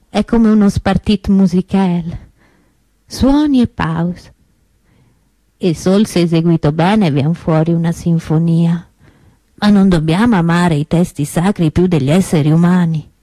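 A woman playing an outspoken, indignant preacher at Mass in a theatrical radio monologue, solemn and deliberate, with rhetorical pauses. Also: fairly low pitch, mellow, dry recording, low-quality recording. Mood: solemn, reflective.

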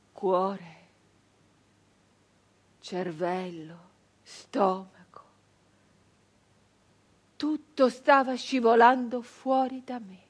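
An actress playing a grieving, traumatized 19th-century peasant woman in a theatrical radio monologue, halting and intense, with breathy speech that can sink toward a whisper. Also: medium pitch, full character voice. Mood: anguished, vengeful. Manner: halting, intense, repeating phrases obsessively.

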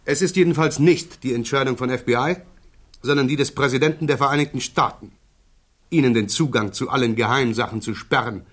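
A man playing a sharp, sceptical prosecutor who interrogates a witness with probing, sardonic insistence in a 1960s German radio play. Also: medium pitch, fairly quick, natural voice. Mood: cool, insistent.

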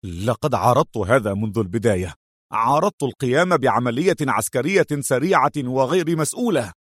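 A man's voice: medium pitch, lively intonation, brisk, no echo, close to an everyday voice. Intelligence chief played by a male narrator: bitter, weary, indignant, terse, dry close sound, audiobook thriller. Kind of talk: dramatised audiobook storytelling.

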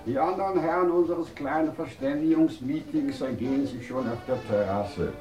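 An actor playing an urbane, teasing scientific director in a radio play, whimsical and courteous, with elaborately worded, theatrical speech. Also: male voice, mid-range voice, normal speed, mellow, faint music behind, natural voice, low-quality recording. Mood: suave, probing. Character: urbane, teasing male scientific director of a desert research station. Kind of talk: German radio play acting.

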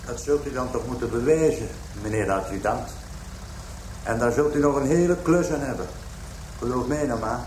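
Man doing cocky crook denying everything, radio play, medium pitch, dismissive, faint noise. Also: moderate pace, a little mellow, natural voice, rough recording. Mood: smug, defiant. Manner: dismissive, insistent. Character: defiant, smug male murder suspect under arrest. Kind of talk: Dutch radio crime drama acting.

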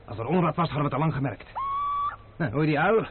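An actor playing a bold, impatient cavalier master in an old radio play, brusque and commanding, dismissive of fear. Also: male voice, fairly high pitch, animated, quick pace, natural voice. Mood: puzzled, watchful. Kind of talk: old radio drama acting. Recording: noisy narrow-band old radio play recording.

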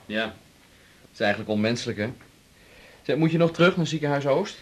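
An actor playing a calm, caring musician and father in a 1980s radio drama. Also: mid-range voice, brisk, lightly acted.

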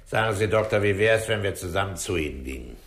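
Gruff, deep-voiced police commissioner. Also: male voice, animated, fast, fairly bright tone. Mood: dry, unhurried. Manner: terse, blunt questioning. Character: gruff, probing, unhurried male police commissioner. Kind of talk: 1960s German radio crime drama acting. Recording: roomy early-1960s radio studio recording.

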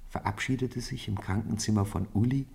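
A man narrating a 1950s radio play in a calm, measured storybook manner. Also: lowish voice, creaky, husky. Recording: 1950s radio studio recording.

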